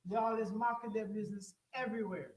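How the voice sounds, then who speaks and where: A woman's voice: mid-range voice, steady tone, quick pace, echoey room, noisy background. A woman, from Grenada, co-hosting a casual talk radio discussion in an opinionated, lecturing way. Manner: opinionated, lecturing, many fillers.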